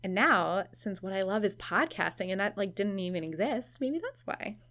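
A woman hosts a podcast and narrates in an easy, conversational way. She is lightly self-deprecating and keeps a relaxed pace. Her voice sits at an ordinary middle pitch. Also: normal speed. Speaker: podcast host.

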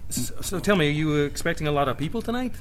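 An actor playing a flustered, stammering son. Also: male voice, on the high side, lively intonation, rapid-fire, a little hiss or hum, natural voice. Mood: eager. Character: anxious middle-aged son caring for old father. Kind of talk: audio drama acting.

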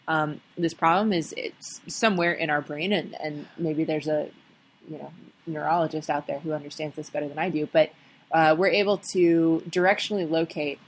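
Lowish-voiced woman co-host explaining. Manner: thoughtful, explains with frequent ums and restarts. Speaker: podcast co-host. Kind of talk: casual explanatory chat.

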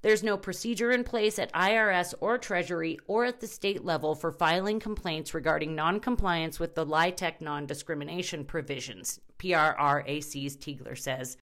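A woman with a slightly low-pitched voice narrates a written news feature. Her delivery is scripted and measured, relaying facts and quotations alike in her own reporting voice. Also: fairly bright tone. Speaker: article narrator.

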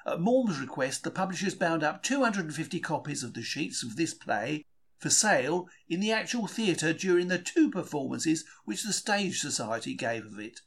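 A British man with a fairly high voice reads a diary in the first person. His pitch swings in a very lively way, and his telling is wry and colloquial, full of old-fashioned slang. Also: moderate pace, creaky, husky. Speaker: reader of a diary for a podcast. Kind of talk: scripted first-person narration, dramatised diary reading.

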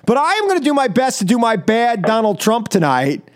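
A man's voice: very high voice, sing-song, a little fast. A man hosting a comedy talk show in casual, joking chat.

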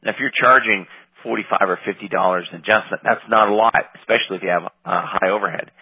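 Guy with a stuffy nose running a coaching call, kinda raspy and husky, lowish voice, muffled line. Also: no echo. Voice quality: slightly raspy. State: head cold, nasal. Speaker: practice coach. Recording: dull conference call line.

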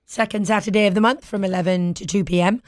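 A British woman hosting a talk show in casual, playful chat, with a husky voice recovering from being lost. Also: mid-range voice, steady tone, fast, no echo. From Britain. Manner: playful, bantering.

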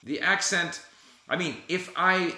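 A man's voice: high-pitched, unhurried, sharp tone. A man hosting a casual conversation about language learning, encouraging and emphatic, repeating his key phrases. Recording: echoey room.

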